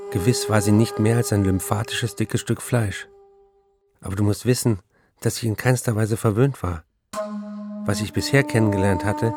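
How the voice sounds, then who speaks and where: Low-voiced love-struck young writer. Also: male voice. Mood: bleak, confessional. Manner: confessional, repetitive, fervent address. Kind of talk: radio drama reading of intimate letters.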